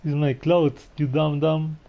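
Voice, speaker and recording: A man's voice: a little high, flat delivery, unhurried, gravelly, slight background noise. A young man in a casual game playthrough, commenting and reading text aloud. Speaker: gamer, video host. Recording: slightly muffled, game music behind.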